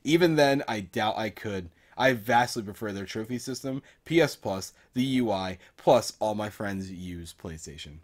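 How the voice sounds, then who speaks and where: A man's voice: lowish voice, lively intonation, normal speed, few pauses. A man co-hosting a casual gaming podcast, talking in a hedging, repetitive way as he argues his points. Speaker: podcast co-host.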